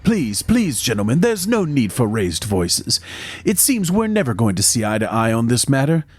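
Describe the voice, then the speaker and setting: A man playing a mild young doctor who narrates and speaks in an audio drama, measured and formal. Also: medium pitch, sing-song, breathy edge, no echo. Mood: conciliatory. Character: mild, conciliatory young male doctor, loyal colleague and narrator. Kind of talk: literary first-person narration and dialogue in audio drama.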